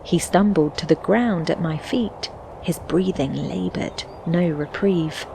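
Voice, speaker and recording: A woman playing a sorrowful, reflective fantasy game heroine, reciting verse in measured phrases with breathy endings. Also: fairly low pitch, background noise. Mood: grave, anxious. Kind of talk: scripted poetic narration for a fantasy adventure game. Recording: music under the voice. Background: background music.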